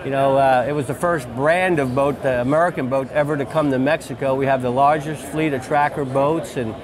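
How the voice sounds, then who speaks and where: A man's voice: mid-range voice, steady tone, fairly quick. A man in his forties, a fishing lodge outfitter, telling his story in an enthusiastic, repetitive way during an interview at a trade-show booth, on a lapel mic. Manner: enthusiastic, storytelling, repeats phrases. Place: busy convention hall booth, indoors. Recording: crowd chatter behind.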